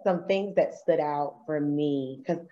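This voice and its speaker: A woman guest on a podcast with a notably low voice, speaking in a thoughtful, unhurried way with a few hesitant pauses. Her recording sounds somewhat dull and muffled. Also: mellow. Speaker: interview guest, ministry volunteer and life coach. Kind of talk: casual interview chat.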